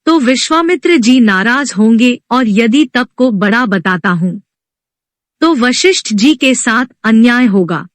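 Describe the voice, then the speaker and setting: A woman storyteller plays a tactful, evasive god from a devotional storybook. He deliberates formally and slowly, with widely varied intonation. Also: on the high side, lively intonation, dry recording. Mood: pondering.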